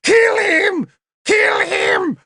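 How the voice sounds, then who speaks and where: A man's voice: very high voice, extremely slow, gravelly, bright, forceful tone, dry recording, clean studio sound, pitched above the performer's own voice, exaggerated voice. A man voicing a frenzied, vindictive man of a royal court, shrieking in an audiobook.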